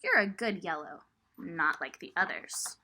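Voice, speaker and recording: A woman's voice: fairly low pitch, lively intonation, unhurried, bright, forceful tone, pitched below the performer's own voice, full character voice. A woman voicing a timid, earnest, friendly male character from a children's storybook. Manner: earnest, simple storybook phrasing.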